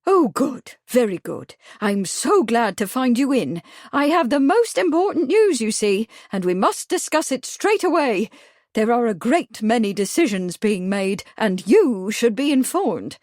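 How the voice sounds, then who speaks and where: A woman voicing a loud, pompous middle-aged village busybody who speaks shrilly and officiously. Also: a little high, very animated, a little slow, dry recording, studio quality, voice pushed up. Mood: insistent.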